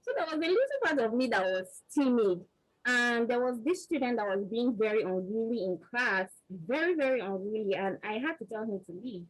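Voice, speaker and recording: A woman's voice: fairly high pitch, lively intonation, fairly quick, low-quality recording. A woman in her thirties with a Nigerian accent, speaking as a panelist on a video call. She talks earnestly and emphatically and repeats words as she builds each point. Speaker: panelist, vaccine research scientist. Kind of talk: motivational panel answer.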